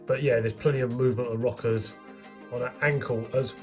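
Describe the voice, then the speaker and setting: A man's voice: mid-range voice, steady tone, brisk, a little mellow, faint music behind. A man with a head cold, chatting his way through a toy unboxing review and talking straight to his viewers. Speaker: toy collector vlogger.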